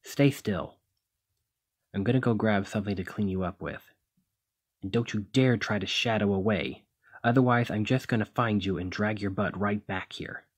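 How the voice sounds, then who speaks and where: A man playing a gruff, protective demigod hero in an ASMR roleplay, scolding yet caring, with a husky voice. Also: lowish voice, normal speed. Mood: firm, caring. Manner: exasperated, bossy, caring.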